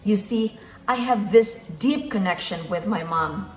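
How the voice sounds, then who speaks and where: Filipino woman, earnest motivational speaker, leans on repetition, mid pitch, reverberant room. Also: a little slow. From Philippines. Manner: earnest, repeats key phrases for emphasis. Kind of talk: motivational talk.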